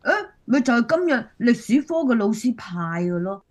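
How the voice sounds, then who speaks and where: A woman's voice: fairly high pitch, very animated, moderate pace. A woman playing a chirpy, curious schoolchild in a Cantonese radio drama. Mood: eager, cheerful. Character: eager curious schoolchild visiting grandparents.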